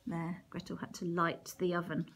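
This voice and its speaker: A woman reading a picture book aloud to young children, expressive, with chatty exclamations and questions along the way. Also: fairly low pitch, normal speed, breathy edge. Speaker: primary teacher reading a storybook to children. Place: indoors, picture book held over a wooden table.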